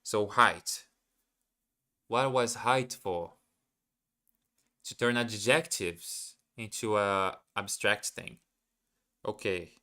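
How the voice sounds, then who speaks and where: A man's voice: lowish voice, drawn-out words, long pauses, bright, forceful tone, smooth. A man whose first language is Portuguese, thinking aloud as he studies a language live, hesitant and correcting himself as he goes. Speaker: language learner streaming live. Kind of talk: thinking aloud while studying.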